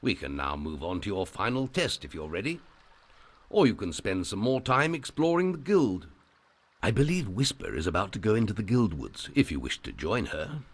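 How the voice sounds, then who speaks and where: Deep-voiced older guildmaster, stern but kindly. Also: male voice, sing-song, normal speed, breathy edge, full character voice. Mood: approving. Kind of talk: fantasy video game acting.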